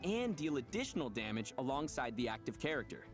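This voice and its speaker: A man's voice: mid-range voice, big pitch swings, a little fast. A man hosting a scripted, upbeat promotional game showcase as its presenter. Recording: faint music under the voice. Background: light background music.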